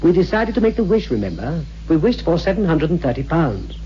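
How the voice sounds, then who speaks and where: Puzzled husband with animated delivery. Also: male voice, on the high side, fairly quick. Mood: puzzled, impatient. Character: ordinary husband, puzzled, uneasy, a little sheepish. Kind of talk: 1960s radio horror drama acting. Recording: muffled old radio recording, faint hum.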